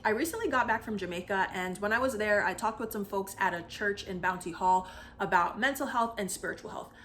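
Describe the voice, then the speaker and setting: A woman's voice: mid-range voice, fairly quick, a bit bright, slight background noise. A woman in her thirties, from Barbados, casually introducing a clip and speaking straight to her viewers. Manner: friendly, direct to the viewer. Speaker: channel host.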